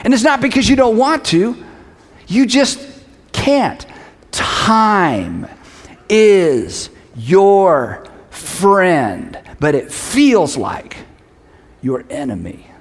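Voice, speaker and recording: A man's voice: high voice, sing-song, extremely slow, long pauses. A middle-aged man giving a conversational sermon as a pastor, speaking emphatically and repeating key phrases. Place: large hall, preaching to an audience. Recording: reverberant large hall.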